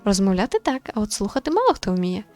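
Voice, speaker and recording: A woman voicing a composed, modest young female medium in a visual novel, calm, polite and measured. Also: medium pitch, faint music behind, close to an everyday voice. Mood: modest, reflective.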